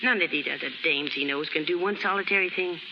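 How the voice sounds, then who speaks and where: A woman's voice: sits low, fast, background noise. An actress playing a brash, naive young chorus girl from the slums in 1930s American radio drama, with slangy, ungrammatical street talk. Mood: eager, wistful. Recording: muffled 1930s radio recording with music.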